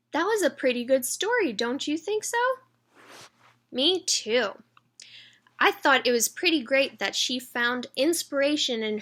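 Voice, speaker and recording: Female read-aloud storyteller for kids, higher-pitched voice, expressive and engaging. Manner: engages young listeners with questions. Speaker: children's read-aloud reader.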